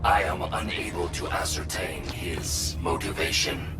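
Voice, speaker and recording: An actor playing a cold, analytical artificial-intelligence companion in a video game, formal and detached. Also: male voice, very deep voice, little pitch movement, a little slow. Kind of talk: dramatic video game acting. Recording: distant, echoing, under loud music.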